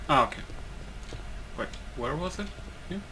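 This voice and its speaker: A man's voice: on the high side, very animated, a little slow. A man giving casual let's play commentary as he plays a video game. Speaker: gamer. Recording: clear background game noise.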